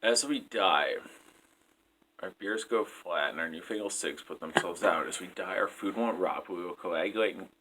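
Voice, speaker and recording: A man's voice: sits low, moderate pace. A man in his twenties reading his poetry aloud as a spoken-word performance. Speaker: poet. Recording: clear background noise.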